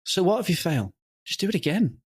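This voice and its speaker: A man's voice: sits high, very animated, a little fast, husky. A man talking casually as an interview guest, emphatic and self-deprecating. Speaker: podcast guest, audio drama creator.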